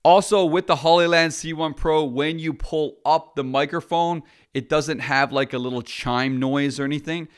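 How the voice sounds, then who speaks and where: A man's voice: a little high, normal speed, few pauses, smooth. A man, a filmmaker, chatting casually and bluntly through a product review.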